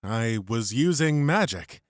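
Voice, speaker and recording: A man's voice: medium pitch, lively intonation, measured pace, no room sound, natural voice. A man playing an earnest, modest young warrior in a fantasy audiobook, speaking with a sincere, slightly sheepish manner. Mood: sheepish, wondering. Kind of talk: dramatized fantasy audiobook acting.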